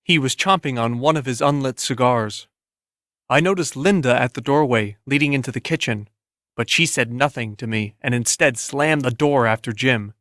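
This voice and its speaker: A man's voice: medium pitch, normal speed, a bit bright, dry recording, clean studio sound. A man reading a scripted first-person literary story as an audiobook narrator.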